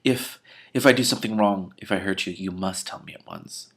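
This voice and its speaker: A man's voice: deep voice, brisk, a bit bright. A man reading a novel aloud as host, breaking into casual, sarcastic and irreverent commentary. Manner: sarcastic, irreverent, incredulous outbursts between read passages.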